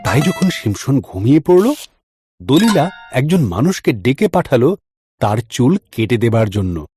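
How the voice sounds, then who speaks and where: A man's voice: medium pitch, very animated, a little slow, mellow. A man narrating a scripted story in a dramatic storytelling style. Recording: music under the voice. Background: background music.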